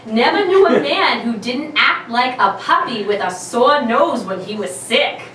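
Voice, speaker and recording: A woman's voice: fairly high pitch, expressive, a little slow, fairly bright tone. A woman acting out bickering detective and secretary parts in an old-time radio play reading. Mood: firm, grumbling. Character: bossy nursing secretary and grumpy bedridden detective. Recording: very echoey room, distant mic, noise.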